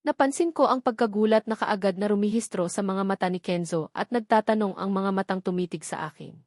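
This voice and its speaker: A woman's voice: medium pitch, brisk, a little mellow, no echo, clean studio sound. A woman with a Filipino accent reading scripted first-person story narration as an audiobook narrator.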